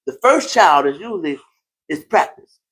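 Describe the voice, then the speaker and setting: A male podcast host with a fairly high voice that swings widely in pitch. He talks casually and conversationally, circling back over his phrases with lively, easygoing energy. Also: moderate pace. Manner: animated, repeats phrases, laughs often.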